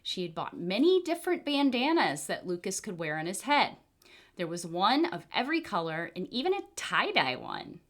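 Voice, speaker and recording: A woman reading a storybook aloud for children in an expressive read-aloud style. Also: medium pitch, moderate pace. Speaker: children's book reader.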